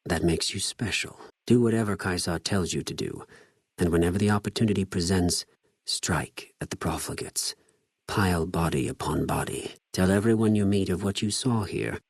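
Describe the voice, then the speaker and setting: A cold male infiltrator from a fanatical legion for a video game. His voice sits low with a breathy rasp, recorded very dry and close. He speaks in formal, measured phrases, menacingly polite and full of disdain. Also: no echo. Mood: commanding, proud. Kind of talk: scripted game character dialogue.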